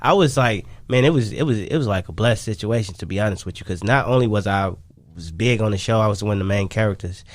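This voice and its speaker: A man's voice: fairly low pitch, fast talker, slight background noise. Casual man chatting as guest. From Chicago, United States. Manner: slangy, conversational, repeats phrases for emphasis. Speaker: guest, independent singer and songwriter. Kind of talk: casual radio interview chat.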